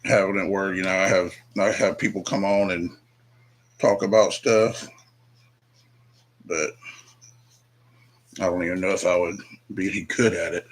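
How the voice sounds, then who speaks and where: A man's voice: low-pitched, fairly even intonation, moderate pace, pauses often, low-quality recording. A man chatting casually and hesitantly while doing leatherwork, rambling and doubting himself. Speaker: leatherworker vlogger. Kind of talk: casual chat while working. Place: home workshop.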